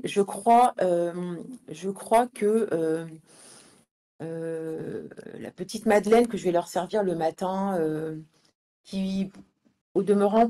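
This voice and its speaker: A woman being interviewed as a guest, speaking conversationally and haltingly, often repeating words as she builds her answers. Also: fairly low pitch, dark tone. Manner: hesitant, halting delivery with many repeated words. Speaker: interview guest, founder and manager of an event venue.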